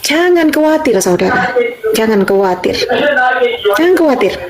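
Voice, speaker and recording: Fervent woman, fairly high voice. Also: very animated, moderate pace, over a noisy crowd. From Madura, Indonesia. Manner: repetitive, fervent, often addresses listeners as brothers and sisters. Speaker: convert giving a religious testimony. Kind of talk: informal spoken testimony.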